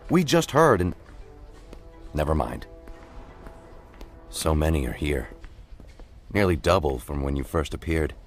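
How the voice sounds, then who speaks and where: An actor playing a weary, earnest, exasperated police officer with a husky, insistent way of talking. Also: male voice, extremely low pitch, exaggerated voice. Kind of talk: dramatic audio acting.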